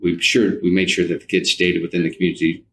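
A man in his fifties answering interview questions conversationally, haltingly, often repeating words and restarting his sentences. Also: low voice, flat delivery, vocal fry, a bit bright, a little hiss or hum, rough recording. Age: 50s.

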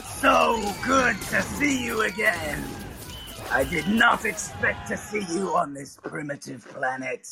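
High, shouting, taunting alien villain. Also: male voice, expressive, takes it slowly, vocal fry, music in the background, exaggerated voice. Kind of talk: audio drama acting.

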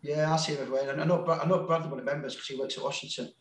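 A man with a broad Sheffield accent talks in a loose, rambling way, restarting sentences and laughing at himself. His voice sits a little high for a man, and the room gives it a noticeable echo. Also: fairly even intonation, rapid-fire. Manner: rambling, self-deprecating, laughs a lot, many restarts. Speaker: guest, music label founder. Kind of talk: casual podcast chat.

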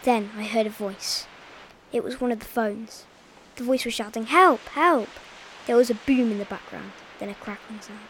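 A woman presenting a community radio show and reading a spooky story in a clear, steady way. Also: a little high, moderate pace, slight background noise.

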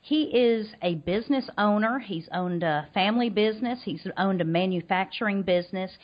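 A woman's voice: medium pitch, normal speed. Cheerful woman hosting a podcast. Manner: cheerful and chatty, with some fillers. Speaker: podcast host. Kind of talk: casual interview chat. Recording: dull lo-fi podcast audio.

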